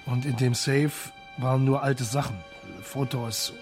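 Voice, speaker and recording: An actor playing a reserved police marshal, speaking in a measured, probing, matter-of-fact way in a German radio crime drama. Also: male voice, mid-range voice, level tone, music in the background, lightly acted. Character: sober, reserved male Italian police marshal investigating.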